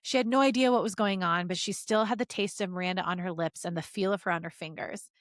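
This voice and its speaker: A woman podcast host reading fan fiction aloud as an expressive story narrator. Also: medium pitch, quick pace, dry recording, clean studio sound.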